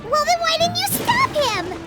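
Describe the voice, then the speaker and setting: Brief: very high, lively little fairy companion over loud game music. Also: female voice, moderate pace, exaggerated voice. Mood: curious. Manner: curious, questioning. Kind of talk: video game voice acting.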